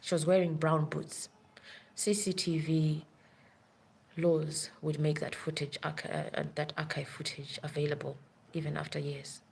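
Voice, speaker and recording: Low-voiced nurse rambling urgently. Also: female voice, level tone, normal speed, gravelly, darkish tone, a little hiss or hum. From Africa. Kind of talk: live-stream monologue.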